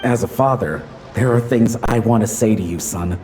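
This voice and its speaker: An actor playing a loving, gently teasing adventurer father in a video game, speaking with measured, sincere tenderness. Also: fairly low pitch, a little hiss or hum, lightly acted.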